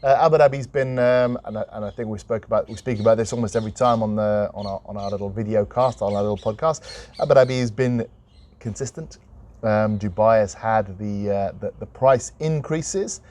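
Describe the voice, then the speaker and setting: A man co-hosting a real estate podcast, talking in a casual, conversational and slightly hesitant, measured way. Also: on the low side, a little mellow. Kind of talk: casual unscripted podcast chat.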